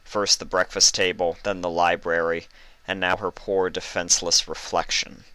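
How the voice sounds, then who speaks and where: A man reading stories as a host, with expressive narration into a close microphone. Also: deep voice, normal speed, clear, resonant tone, no echo, low-quality recording. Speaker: story reader and channel host. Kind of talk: expressive scripted storybook narration with casual introduction.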